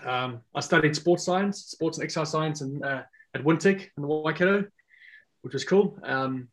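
Relaxed man, casual. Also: on the high side, normal speed. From South Africa. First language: Afrikaans. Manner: rambling, many fillers and restarts. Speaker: guest. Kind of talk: casual podcast chat.

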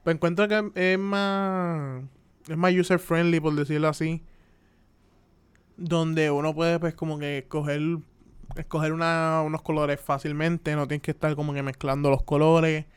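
A young man in his twenties talks with a Puerto Rican Spanish accent. His voice is very smooth and resonant and sits a little high, and he chats loosely on his own podcast, filling pauses with "este" and "pues". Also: little pitch movement. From Puerto Rico. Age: 20s. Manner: chatty, with frequent "este" and "pues" fillers. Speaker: podcast host. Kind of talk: casual solo podcast monologue.

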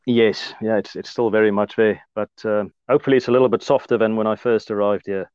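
A man in his thirties from South Africa with an Afrikaans accent, speaking as an interview guest in a relaxed, hesitant way with many fillers. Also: fairly low pitch, brisk, smooth, no room sound, dull audio. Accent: Afrikaans-accented South African English. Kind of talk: casual conversational interview.

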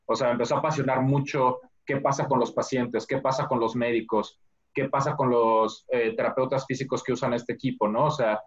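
A man from Mexico City with a Mexican accent, a guest telling his life story in a relaxed interview, full of filler words. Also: medium pitch, brisk. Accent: Mexican Spanish. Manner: many fillers like "este" and "¿no?". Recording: dull lo-fi audio.

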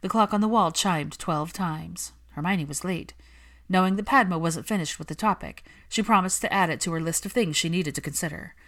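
A woman's voice: on the low side, fairly quick, fairly bright tone. A woman reading scripted fiction aloud as a story narrator.

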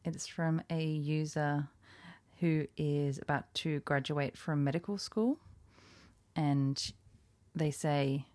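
Slow, low-voiced young woman podcaster. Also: fairly even intonation, darkish tone, no echo. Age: 20s. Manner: chatty, self-deprecating, laughs often. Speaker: podcast host. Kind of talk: casual solo podcast chat.

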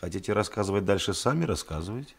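An actor playing a shrewd, composed police detective in a radio drama, deliberate, commanding and dryly ironic. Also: male voice, low-pitched, lively intonation, vocal fry, slightly rough, exaggerated voice. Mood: methodical, pressing.

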